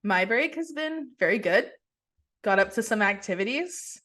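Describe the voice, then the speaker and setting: A young woman co-hosting a casual podcast from her kitchen over a video call, talking in a relaxed, rambling way with many "like"s and restarts. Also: medium pitch, moderate pace. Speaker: podcast co-host. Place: kitchen at home.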